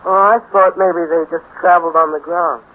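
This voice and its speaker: A tinny, narrow old radio sound frames a high-voiced, naive teenage boy, acted by a man. He is a hesitant, admiring sidekick who speaks with gee-whiz innocence in mid-century radio acting style. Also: steady tone, normal speed, lightly acted. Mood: wide-eyed, uneasy. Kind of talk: 1950s radio drama acting. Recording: tinny, narrow-band 1950s radio recording.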